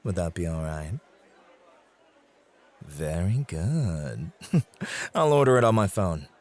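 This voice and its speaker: A man playing a devoted, quietly possessive club host in an ASMR roleplay, speaking in polite, formal, flowery phrases. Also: sits low, big pitch swings, slow pace, faint music behind, some chatter behind, heavily acted. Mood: suave, pleased.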